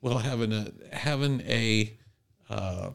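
A man's voice: lowish voice, slow pace, vocal fry. A man co-hosting a conversational podcast, thinking aloud and repeating phrases for emphasis. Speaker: podcast co-host. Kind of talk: conversational commentary.

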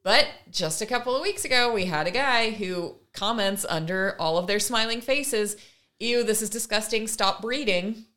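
A woman's voice: medium pitch, moderate pace, fairly bright tone. A woman co-hosting a talk podcast, explaining her points conversationally and voicing imagined speech as examples. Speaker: podcast co-host. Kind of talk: conversational commentary.

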